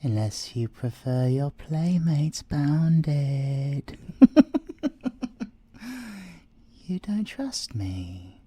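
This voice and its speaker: A man's voice: medium pitch, big pitch swings, extremely slow, long pauses, dark tone, no room sound, heavily acted. A British man voicing an arrogant, flirtatious male siren thief in an ASMR audio roleplay, teasing and theatrical, sometimes dropping to a whisper. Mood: playful, coaxing.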